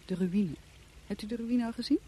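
A woman's voice: fairly high pitch, normal speed, dark tone, slight background noise, natural voice. An actress playing a forthright, nonchalant woman from a country house in a radio drama, speaking in a direct, dryly offhand way. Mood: nonchalant, inviting.

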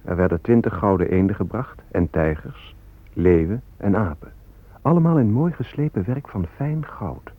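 An actor playing a courtly, plain-spoken Spanish soldier who chronicles events in a radio drama. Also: male voice, on the low side, expressive, moderate pace. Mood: courtly, reporting. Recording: muffled phone-like old radio play.

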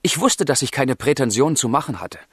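An actor playing a passionate, lovesick young gentleman in a German radio drama, with a fervent, confiding delivery and a husky voice. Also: mid-range voice, lively intonation, brisk, dry recording, studio quality. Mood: brooding, fervent.

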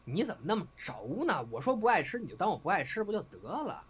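An actor playing a playful, love-struck young man, teasing and excitable, with a northern Chinese accent in an audio drama. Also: high voice, quick pace, breathy edge, heavily acted. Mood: playful, defensive. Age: young adult.

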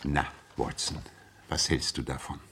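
An actor playing a shrewd, ironic detective in a 1960s radio play, speaking in a measured way. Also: male voice, very deep voice, steady tone, bright, forceful tone, a little hiss or hum, heavily acted. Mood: wry. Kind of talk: 1960s radio drama acting. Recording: old radio drama archive recording.

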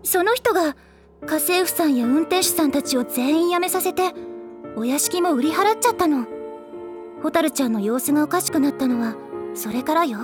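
A woman voicing a gentle teenage schoolgirl who recounts a childhood friend's story softly and earnestly. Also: high-pitched, lively intonation, moderate pace, music in the background. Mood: worried. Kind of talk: anime drama CD acting.

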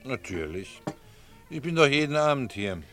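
Radio drama role: a needling, insinuating café regular, mid-pitched, swinging intonation. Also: male voice, big pitch swings, normal speed, a bit bright, soft background music. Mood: dry irony. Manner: sly, teasing, insinuating. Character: needling, ironic male regular at a snack bar. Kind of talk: German radio drama acting.

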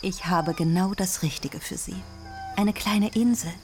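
An actress playing a shrewd, resolute businesswoman past fifty in a radio drama, speaking with measured, persuasive salesmanship. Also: on the low side, natural voice. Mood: composed, persuasive. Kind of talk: German radio drama acting. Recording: music bed behind the voice.

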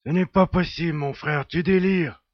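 A man's voice: on the high side, normal speed, muffled recording, natural voice. A man playing a knowledgeable, skeptical adventurer in an audio drama, speaking matter-of-factly. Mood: incredulous. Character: male adventurer, knowledgeable, skeptical blood brother of an alien.

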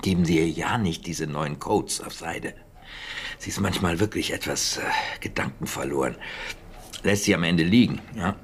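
An actor playing a talkative, defensive wartime British intelligence officer in a German radio drama, speaking conversationally and emphatically. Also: male voice, sits low, normal speed, natural voice. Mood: animated, confiding. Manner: conversational, emphatic, with hesitations and scoffing.

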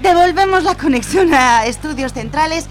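Woman acting as a lively high-voiced TV reporter. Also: normal speed. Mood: upbeat, professional. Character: chirpy female TV reporter covering a local market. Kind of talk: audio drama acting. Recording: music under the voice.

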